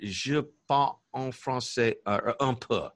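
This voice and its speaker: A man's voice: medium pitch, takes it slowly, no echo. A man hosting a business radio show, chatting in an upbeat, enthusiastic way as he introduces guests. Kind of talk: casual interview hosting.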